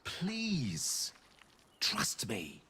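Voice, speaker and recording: A man's voice: mid-range voice, wildly swooping pitch, drawn-out words, pushed and bright, husky, exaggerated voice. An actor playing a courteous, secretive male wizard, speaking in a refined, theatrical way. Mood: confiding.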